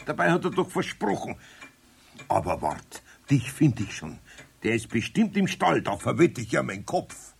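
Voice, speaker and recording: Gravelly bavarian old carpenter, lively voice, mild and muttering, radio play. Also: male voice, medium pitch, normal speed, a little hiss or hum. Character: kindly older male master carpenter, patient. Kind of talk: 1970s radio play acting.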